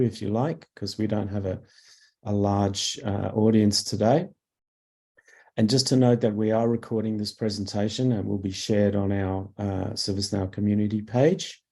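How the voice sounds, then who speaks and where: A man with a mellow, somewhat low voice leads an online product webinar. He sounds croaky from a cough and keeps clearing his throat. He talks in halting bits full of "uh" and "um", speaking into a desk mic. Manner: halting, many fillers, clears throat often. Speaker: product manager presenting a webinar. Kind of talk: webinar presentation.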